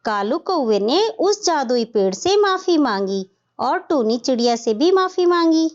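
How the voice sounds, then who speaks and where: A woman's voice: high-pitched, very animated, a little slow, dull audio. A woman storyteller reading scripted narration for a children's cartoon tale.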